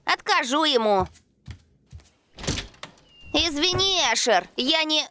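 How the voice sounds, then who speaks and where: A woman voicing an excitable, enthusiastic young boy in a cartoon dub. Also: high voice, slow, a little hiss or hum, heavily acted. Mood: eager. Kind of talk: animated series dub.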